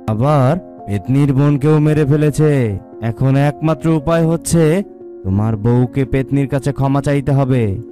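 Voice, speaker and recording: Man playing a mellow, solemn village exorcist. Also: mid-range voice, lightly acted. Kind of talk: cartoon dialogue.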